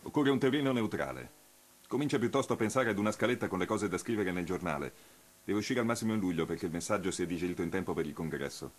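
An actor playing a brisk, impatient, domineering male political activist in a radio play, curt and commanding. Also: low voice, expressive.

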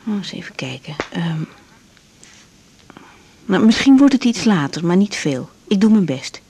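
A woman's voice: fairly low pitch, big pitch swings, unhurried, mellow, husky, heavily acted. An actress playing a young woman doctor, composed, polite and slightly hesitant, in a naturalistic radio drama. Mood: polite, accommodating. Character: young female doctor, tactful, accommodating, composed.

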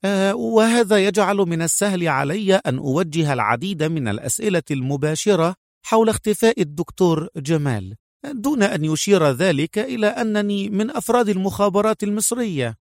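A man voicing a soft-spoken, proud young woman intelligence officer in an audiobook. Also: high-pitched, a little fast, no echo, studio quality, voice pushed up. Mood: calm. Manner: hushed, breathy whisper at times, calm. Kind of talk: acted audiobook dialogue.

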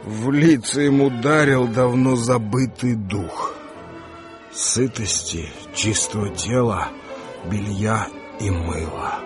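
A man's voice: on the low side, takes it slowly. A man reading dramatised literary narration for a radio play, with music behind him.

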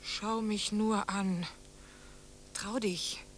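A slow, ghostly, taunting wife. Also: female voice, medium pitch, fairly even intonation, slight background noise. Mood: eerie, daring. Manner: slow, eerie, challenging. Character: ghostly, taunting wife haunting her husband. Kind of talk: German radio play acting.

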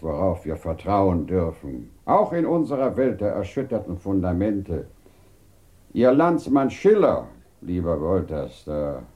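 An actor in a German radio play playing a reflective, solemn man who speaks in measured, philosophical phrases. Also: low voice, warm tone, full character voice. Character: reflective man holding to trust in a shattered world.

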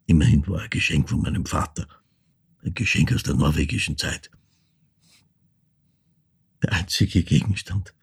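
An actor playing an elderly man in a radio drama monologue, recalling his dead mother in halting, hushed, reflective phrases with a husky, gravelly voice. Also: a bass voice, heavily acted. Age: older.

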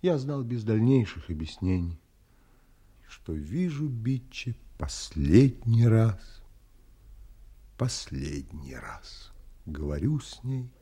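Взволнованного влюблённого, хрипловатый низкий голос. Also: male voice, fairly low pitch, big pitch swings, takes it slowly, slightly rough, exaggerated voice. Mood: troubled, foreboding. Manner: emotional, pleading, hushed at times. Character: romantic, anguished man in love, tender and troubled. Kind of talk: audio drama acting and first-person narration. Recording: music under the voice.

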